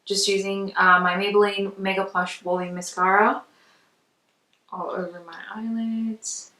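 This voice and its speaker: A woman's voice: medium pitch, flat delivery, a little slow, sharp tone. A woman giving a casual makeup tutorial in a chatty, conversational way. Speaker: makeup vlogger. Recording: roomy echo, distant mic.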